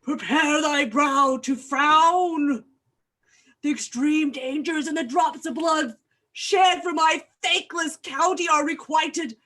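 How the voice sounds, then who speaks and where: An actress playing a frightened, insistent character in a Shakespearean horror parody, delivered in a dramatic, declamatory stage manner. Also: female voice, high-pitched, slow pace, a bit bright. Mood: grave, defiant. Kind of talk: theatrical staged reading in mock Elizabethan English.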